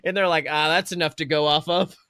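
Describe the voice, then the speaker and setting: A woman co-hosting a podcast, chatting casually about films in a blunt, profane, jokey and animated way. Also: sits low, quick pace, clean tone, dry recording. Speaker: podcast co-host. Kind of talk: casual film review chat.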